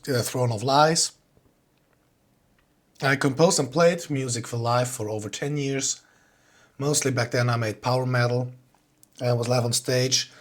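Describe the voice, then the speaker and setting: A man's voice: medium pitch, a bit bright. A man with a Swiss accent giving an informal online talk as a composer, explaining things in a plain-spoken way. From Switzerland.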